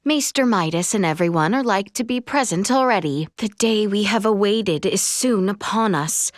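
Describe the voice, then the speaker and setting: A woman voicing an earnest, dutiful young royal heroine in a video game, speaking in formal, archaic, measured phrases. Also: mid-range voice, animated, dry recording, clean studio sound, close to an everyday voice. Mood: solemn, resolute. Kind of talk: video game character voice acting.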